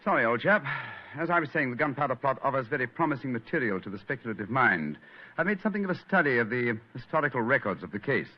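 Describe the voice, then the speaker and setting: An actor playing a brisk, self-assured English gentleman detective, precise and lecturing, in theatrical 1940s radio style. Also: mid-range voice, sing-song. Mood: animated, lecturing. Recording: muffled vintage radio broadcast.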